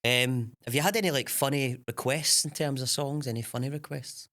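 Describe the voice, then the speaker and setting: A man's voice: medium pitch, steady tone, brisk, pushed and bright. A man hosts a podcast interview in a mid-range voice. He asks questions casually and keeps dropping in friendly words like "aye" and "mate". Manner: says "aye", "mate" and "brilliant" often. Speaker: podcast host.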